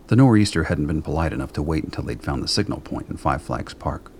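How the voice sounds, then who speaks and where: A man narrating a scripted radio drama in a measured, dry, literary storytelling manner. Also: rumbling low, animated, a little mellow, slight background noise.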